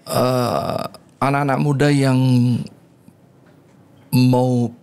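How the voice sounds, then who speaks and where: A man's voice: medium pitch, level tone, very slow, gravelly, a little mellow, clear, resonant tone. A man interviewing a guest in a conversational, deferential way, often addressing the guest by title. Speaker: podcast interviewer.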